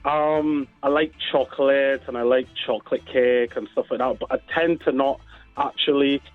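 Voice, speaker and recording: A man's voice: mid-range voice, steady tone, normal speed. A man with a Barbadian accent, chatting casually as an interview guest. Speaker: interview guest, dessert business owner. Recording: tinny phone-like line, faint music.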